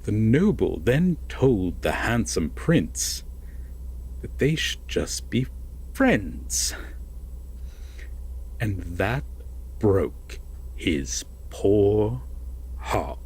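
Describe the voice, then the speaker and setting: A man playing an obsessive, possessive lover in an intimate roleplay audio drama, speaking deliberately and coaxingly with dramatic pauses and a husky voice. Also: lowish voice, big pitch swings, darkish tone, full character voice, low-quality recording. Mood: ominous storytelling. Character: possessive, obsessive lover telling a veiled fairytale.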